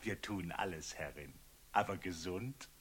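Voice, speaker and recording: An actor playing a fawning, morbidly affectionate executioner in a German radio play, chatty and theatrical, muttering and gushing by turns. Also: male voice, sits low, a little slow, a bit bright. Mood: servile. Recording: noisy old radio recording with clicks.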